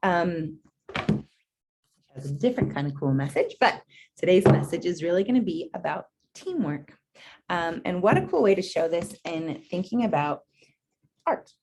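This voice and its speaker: A woman's voice: on the low side, very animated, moderate pace, pauses often, darkish tone, a little hiss or hum. A woman reading a children's picture book aloud, expressively, with encouraging chatty asides and questions to her listeners. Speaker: read-aloud presenter.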